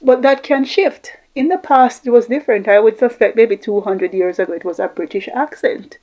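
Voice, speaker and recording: A woman's voice: a little high, moderate pace, darkish tone, highs cut off. A woman giving an informal explanatory talk, reflective and repeating phrases for emphasis. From Jamaica, Caribbean. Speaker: host giving communication tips.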